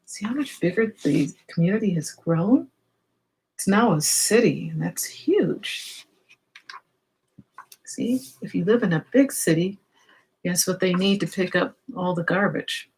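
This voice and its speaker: A woman's voice: fairly low pitch, animated, measured pace, long pauses, darkish tone, slight background noise, rough recording. A woman reading a children's story aloud, expressively, with explanatory asides and questions for young listeners.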